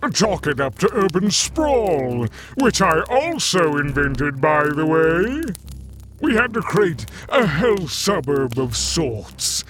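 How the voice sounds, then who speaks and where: A woman playing a smug, chatty Lucifer who runs hell like a bureaucrat, with a drawn-out theatrical delivery, in an audio drama. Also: low-pitched, big pitch swings, noisy background, exaggerated voice. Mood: smug, amused.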